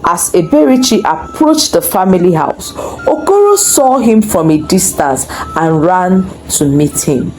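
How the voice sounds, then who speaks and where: A woman narrating a scripted folktale in an expressive, deliberate storytelling manner. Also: lowish voice, soft background music, rough recording.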